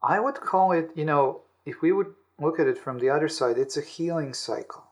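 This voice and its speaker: Man explaining things in halting, repeated steps. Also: on the high side. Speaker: interview guest, audiobook author. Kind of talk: explanatory interview talk on self-help.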